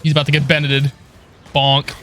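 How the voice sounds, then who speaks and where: A man's voice: a little high, completely flat delivery, normal speed, sharp tone, a little hiss or hum. Flippant young male game streamer. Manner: joking, flippant. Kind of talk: casual gameplay commentary. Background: game music.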